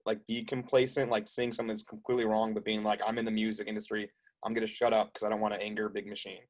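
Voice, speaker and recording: A man's voice: fairly low pitch, little pitch movement. A man hosting an interview in casual, eager chat, often restarting and repeating words as he talks. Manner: rapid, stumbling restarts, eager. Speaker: host.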